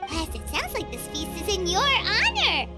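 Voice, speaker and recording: A tiny floating fairy girl from an anime game, extremely high and squeaky. She is an excitable little glutton whose pitch swoops wildly, with loud music playing underneath. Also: moderate pace, exaggerated voice. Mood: chirpy, matter-of-fact. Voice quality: squeaky, childlike. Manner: exclamatory, refers to self in third person. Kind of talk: anime-style video game voice acting. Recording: music behind the voice.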